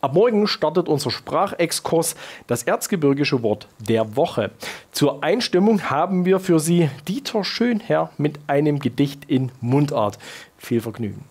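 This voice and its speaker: A man's voice: fairly high pitch, animated, unhurried, darkish tone. A man in his thirties presenting a regional TV programme, speaking scripted lines directly to viewers. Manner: clear presenter delivery addressed to viewers. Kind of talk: scripted TV moderation.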